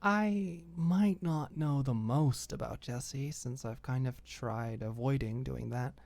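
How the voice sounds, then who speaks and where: A woman playing a frank, caring young woman who gives careful, halting advice in an intimate audio drama. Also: rumbling low, gravelly, a little mellow, no room sound, heavily acted. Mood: careful, hesitant. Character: Alicia, frank, caring, tentative young woman advising a friend.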